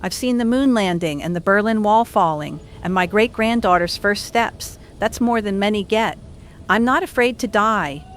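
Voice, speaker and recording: An elderly woman in her eighties , played by an actor, speaks with frightened resolve. She is wistful and halting, as in a radio drama call, with a faint noise behind her. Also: fairly low pitch, natural voice. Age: 80s. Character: frightened elderly widow, resolute, calling as creatures break in. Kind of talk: audio drama phone-in monologue.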